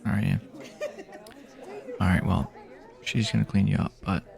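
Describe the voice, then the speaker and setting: A man speaking softly and half-whispering in a halting, casual, rambling way, improvising an immersive ASMR roleplay as an apocalypse survivor. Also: deep voice, fairly even intonation, some chatter behind. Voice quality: breathy, half-whispered, gravelly. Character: weary friendly male apocalypse survivor guiding a companion.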